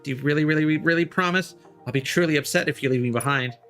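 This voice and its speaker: A man's voice: a little high, fast, a bit bright, pitched above the performer's own voice, natural voice. A timid, clingy teenage girl from a video game, acted by a man reading her lines. She sounds earnest and plaintive, easily bothered by noise, with faint music underneath. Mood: clingy, shy. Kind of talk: reading game dialogue aloud.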